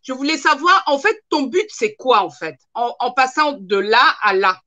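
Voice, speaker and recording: A woman's voice: high voice, lively intonation, moderate pace, bright, forceful tone. A woman in her thirties hosting a live online interview from home, chatty and encouraging, stringing questions together with fillers. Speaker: host. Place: room at home, indoors.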